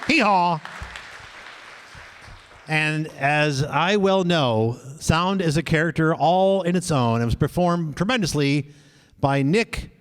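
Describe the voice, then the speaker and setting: A man's voice: fairly high pitch, animated, takes it slowly, long pauses, a faint crowd. A man announcing cast credits live on stage at a show. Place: live venue with an audience. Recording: noisy live audience recording.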